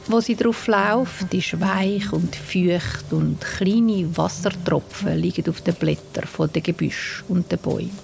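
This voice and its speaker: A woman with a Swiss German accent narrating a scripted bedtime story for children in a gentle, soothing manner. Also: on the low side, creaky. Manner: slow, gentle, soothing delivery. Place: studio. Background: soft music and sound design.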